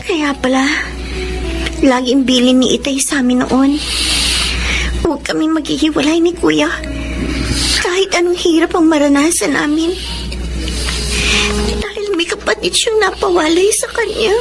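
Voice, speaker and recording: A woman acting a sweet, polite orphaned younger sister in a gentle Tagalog radio drama style. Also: sits high, fairly even intonation, a little slow, creaky, long pauses, over music. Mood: tender, reflective.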